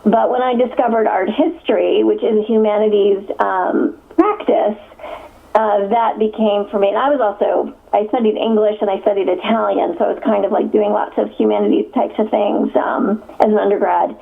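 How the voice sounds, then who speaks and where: A woman's voice: medium pitch, fairly even intonation, normal speed, vocal fry. A woman from Connecticut, an author, answering interview questions in a chatty way, full of fillers and restarts and frequent laughs. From Connecticut, USA. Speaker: author guest. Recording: tinny phone line.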